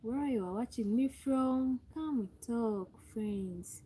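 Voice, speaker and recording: Slow, mellow Ugandan woman livestreaming. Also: fairly high pitch, slight background noise, rough recording. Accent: Ugandan English. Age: young adult. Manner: repetitive, calls out to viewers and asks for thumbs up. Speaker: livestream host. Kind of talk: live stream greeting viewers.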